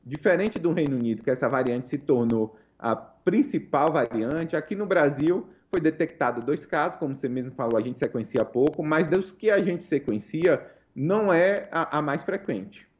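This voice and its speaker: A Brazilian man, a researcher giving measured, explanatory answers as an interview guest, heard over a lo-fi link. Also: fairly high pitch, expressive, a little mellow, a little hiss or hum.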